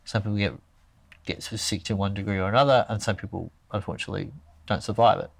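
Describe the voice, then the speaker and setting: A man's voice: lowish voice, fairly even intonation, quick pace, gravelly, dry recording. Rambling man thinking aloud, dry sound. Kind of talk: casual chat.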